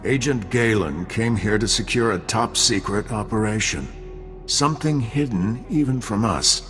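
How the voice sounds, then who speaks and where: An actor playing a level-headed, weary intelligence bureau chief in a video game, measured, wry and decisive. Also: male voice, lowish voice, animated, a bit bright, soft background music. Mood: briefing.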